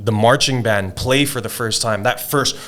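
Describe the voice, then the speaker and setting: A middle-aged man from Indonesia, a rapper telling stories in a relaxed podcast chat, with lots of fillers and laughs. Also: medium pitch, normal speed. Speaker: guest rapper. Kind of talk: casual podcast interview.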